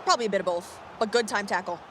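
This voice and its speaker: A woman's voice: medium pitch, very fast. Upbeat, fluent female esports caster from the US, with a faint noise bed. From United States. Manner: fluent, upbeat, reads chat questions. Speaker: esports commentator. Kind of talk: live esports commentary. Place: event venue.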